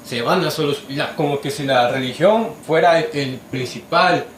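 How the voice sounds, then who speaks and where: A man's voice: on the high side, normal speed, over a noisy crowd. Argumentative man in an echoey room. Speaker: panelist. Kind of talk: casual discussion. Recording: echoey room with faint background noise.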